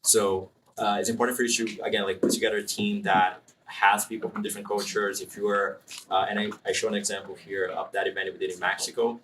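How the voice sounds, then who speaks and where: A low, deep man answers interview questions in a relaxed, explanatory way, thinking aloud as he goes. His voice is bright and forceful despite its depth. He sounds distant from the mic, with a faint hiss in the room. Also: fast, few pauses. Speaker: marketing executive guest. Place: room with a live student audience.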